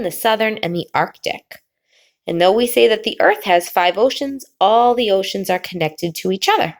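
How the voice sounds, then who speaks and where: A woman teacher reading a picture book aloud to children, pausing before key words and explaining the pictures. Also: mid-range voice. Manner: slow, drawn-out reading with sing-song pauses before the last word.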